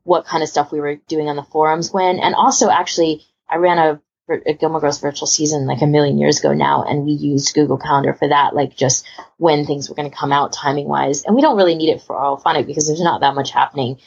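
A woman's voice: low voice, steady tone, fast, vocal fry, darkish tone, husky. A woman chatting casually as a podcast co-host, in an emphatic, rambling way. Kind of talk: casual chat. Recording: dull lo-fi voice recording.

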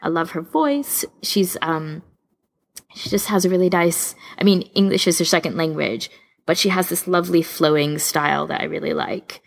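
A woman's voice: fairly low pitch, moderate pace, vocal fry. A woman co-hosting a casual podcast, chatting enthusiastically like a keen fan. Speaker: podcast co-host.

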